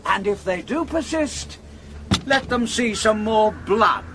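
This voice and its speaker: A man's voice: high-pitched, animated, slow, heavy background noise, heavily acted. A man playing a cruel, contemptuous outlaw lord in a radio drama, sneering, commanding and threatening. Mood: sneering menace.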